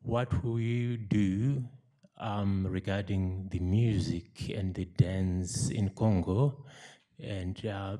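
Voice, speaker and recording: Audience member: man from Zimbabwe, deep and warm, very slow, gentle. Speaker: audience member asking a question. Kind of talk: audience question at a lecture. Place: lecture room, standing at a podium. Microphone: handheld microphone.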